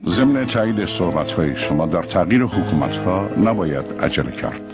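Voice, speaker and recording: A man's voice: sits low, sing-song. An actor playing a deferential, patient agent advising his superior in a scripted propaganda drama. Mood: deferential, plotting. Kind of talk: scripted propaganda film acting. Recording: loud music over the voice.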